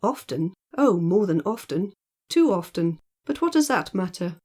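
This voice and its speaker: A woman's voice: mid-range voice, very animated, a little slow, darkish tone. A woman voicing a teasing, lazy, educated man in an audiobook, with playful, rhetorical exclamations. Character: teasing, lazy, mock-solemn educated man with mellow laugh. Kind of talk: audiobook character dialogue.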